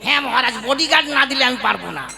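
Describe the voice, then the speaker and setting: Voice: high voice, animated, very fast, gravelly. A performer voicing a sly, greedy male government clerk in a comic puppet-show cartoon, boastful and cunning. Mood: wheedling. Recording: music bed under the voice. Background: music.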